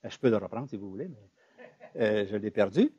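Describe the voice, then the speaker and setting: A man from Ontario, moderating an academic discussion in an emphatic, animated way. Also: fairly low pitch, a little fast, breathy edge, dry recording, dull audio. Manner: emphatic, animated, rising loud on key points.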